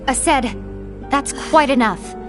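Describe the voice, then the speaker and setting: A woman playing a composed, diplomatic fantasy warrior in a video game cutscene, speaking formally and with measured reason. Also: high-pitched, over music. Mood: firm, diplomatic. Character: composed, diplomatic female keyblade wielder.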